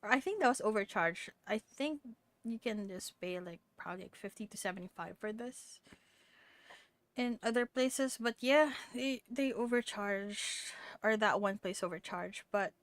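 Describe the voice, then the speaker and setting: Female game streamer, young adult: average pitch, informal live chat delivery. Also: moderate pace, no echo.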